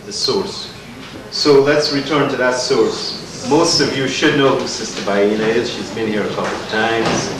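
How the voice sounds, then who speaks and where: A man introduces a speaker with earnest, rhetorical emphasis. His voice is picked up from across a large, very echoey hall with a steady hiss of noise behind. He sounds set back from the microphone. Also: mid-range voice, unhurried. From Guyana. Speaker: event host. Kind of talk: community lecture introduction. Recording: echoey hall, distant mic, noisy.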